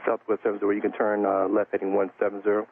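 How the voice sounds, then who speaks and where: Low monotone male tower controller on radio. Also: racing. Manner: matter-of-fact, formulaic. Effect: aviation radio. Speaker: airport tower air traffic controller. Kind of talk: clipped procedural radio instructions. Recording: narrow tinny radio transmission, faint static.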